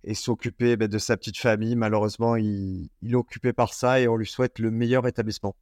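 Chatty French podcast host, dry sound. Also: male voice, on the low side, flat delivery, fast talker, a little mellow, no room sound. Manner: chatty, informal, many fillers. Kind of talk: casual podcast chat.

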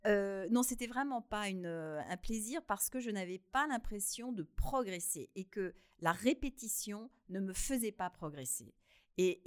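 A woman guest author talking in a radio interview, laughing easily and bursting into loud emphasis, with many hesitations and repeated words. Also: mid-range voice.

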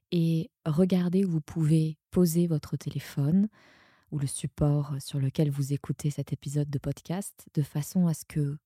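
Very soft, slow, breathy woman guiding meditation. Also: low voice, no room sound, studio quality. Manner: soothing, hushed, often breathy to a whisper. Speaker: yoga nidra guide and podcast host. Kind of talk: guided sleep meditation.

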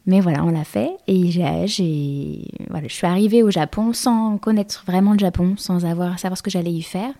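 Gravelly, mellow-voiced woman in her thirties. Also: lowish voice, moderate pace, hardly any pauses. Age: 30s. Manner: hesitant, many fillers and restarts. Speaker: interview guest. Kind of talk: conversational interview.

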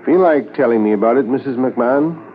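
An actor playing a sober, sympathetic police storyteller, measured and understated, in 1950s radio drama. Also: male voice, mid-range voice, a little hiss or hum, natural voice. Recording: narrow-band 1950s radio transcription.

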